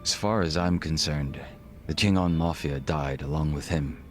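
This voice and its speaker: A man voicing a refined, reserved gangster in a video game cutscene, speaking in formal, measured, polite phrases. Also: rumbling low, soft background music. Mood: grave, reflective. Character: refined, reserved Korean gangster, a former body double.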